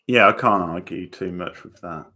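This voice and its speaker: A man chatting casually in live commentary on a TV show, halting and trailing off mid-thought. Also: low voice, sharp tone. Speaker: watchalong host commenting on a TV show.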